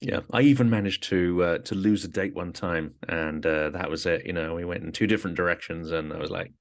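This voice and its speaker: A man hosting an interview in a relaxed, chatty way, often saying "you know". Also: sits low, fairly quick, vocal fry. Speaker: host. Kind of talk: casual interview chat.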